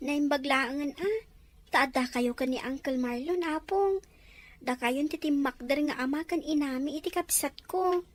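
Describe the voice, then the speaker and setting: Woman playing a sweet high-voiced Ilocano teenage girl. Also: moderate pace, close to an everyday voice. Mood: sweet, grateful. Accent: Filipino, Ilocano. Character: sweet, grateful teenage granddaughter graduating high school. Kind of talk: audio drama acting.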